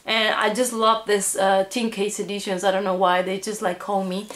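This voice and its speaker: A woman's voice: medium pitch, flat delivery, normal speed, fairly bright tone. A woman chatting casually and enthusiastically as she hosts a haul video, gushing about what she shows. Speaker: video host showing a collectibles haul. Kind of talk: casual haul vlog chat. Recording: echoey room.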